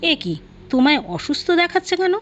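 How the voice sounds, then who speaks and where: A woman's voice: high-pitched, sing-song, normal speed, fairly bright tone. A woman storyteller voicing an irritable middle-aged husband and father in a testy, exasperated manner. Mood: questioning. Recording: dull lo-fi audio, music behind.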